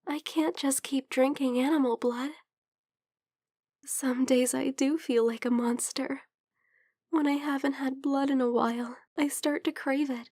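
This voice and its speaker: A tender young vampire girl played by a woman speaks in a high, gentle voice, very slowly and hesitantly. She sounds a bit under the weather, often dropping toward a whisper in a close, dry ASMR roleplay. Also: fairly even intonation, dry recording. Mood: troubled, confessing. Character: caring young female vampire best friend, sick, tender. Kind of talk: ASMR roleplay, intimate, soft-spoken.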